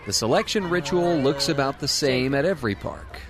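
An American man playing the scene-setting narrator of a radio drama, with measured, theatrical phrasing. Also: medium pitch, animated, close to an everyday voice. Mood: storytelling, nostalgic.

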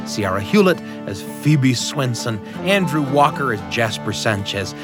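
Male host: upbeat storytelling show outro over music. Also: medium pitch. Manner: upbeat, chuckling, lilting delivery. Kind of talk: warm scripted host outro.

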